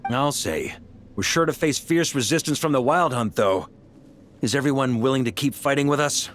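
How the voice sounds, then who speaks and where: An actor playing a confident, easygoing veteran knight grandmaster in a video game, commanding and hearty. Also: male voice, medium pitch, lively intonation, normal speed, over music, lightly acted. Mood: relaxed, friendly. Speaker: military commander.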